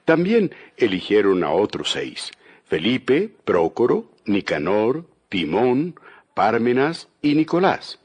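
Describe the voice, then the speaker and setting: A man's voice: fairly low pitch, sing-song, takes it slowly, husky. A man narrating a dramatized audio Bible as a scripted storyteller. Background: music.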